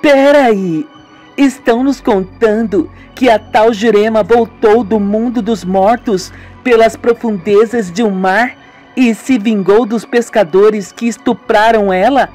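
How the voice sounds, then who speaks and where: A man's voice: high voice, slow, a little mellow, soft background music, voice pushed up. A man voicing a disbelieving young woman who keeps questioning what she hears, in a radio soap. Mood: astonished. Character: astonished young woman, Jade.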